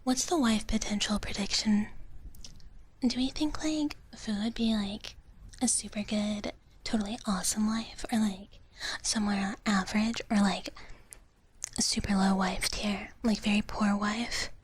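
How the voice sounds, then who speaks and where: A woman whispering softly and breathily in a gentle, cutesy ASMR live stream, chatting casually to viewers and softly repeating her phrases. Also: mid-range voice, unhurried. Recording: clear steady background noise.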